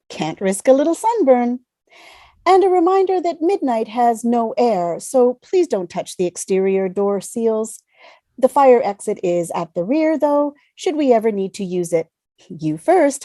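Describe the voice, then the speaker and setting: Woman as a polite, mellow, animated space-shuttle hostess. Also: on the high side, no echo. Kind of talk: fan table read over video call.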